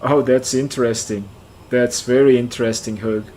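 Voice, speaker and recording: A man in his forties with a Turkish accent, a native Turkish speaker, talking in a relaxed live-stream way. He reads out chat and answers it, thinking aloud as he goes. His voice sits in a middling pitch. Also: moderate pace, rough recording. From central Turkey. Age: 40s. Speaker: flight sim streamer.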